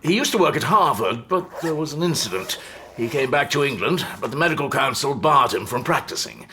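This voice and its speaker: A needling police chief in an audio drama, urbane and teasing. His voice is bright and forceful, swooping widely in pitch. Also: big pitch swings, fairly quick, a little hiss or hum. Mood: briefing. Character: genial, needling male police department chief. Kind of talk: full-cast audio drama acting.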